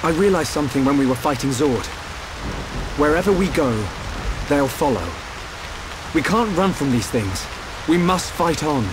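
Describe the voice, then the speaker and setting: A man's voice: on the high side, expressive, normal speed, background noise, lightly acted. An actor playing an earnest, determined young hero in a video game cutscene, sincere and eager in his delivery.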